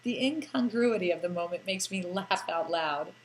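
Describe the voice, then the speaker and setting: A woman's voice: lowish voice, normal speed, a little hiss or hum. A woman narrating a first-person story like an audiobook, with a theatrical, lilting delivery and lingering phrases.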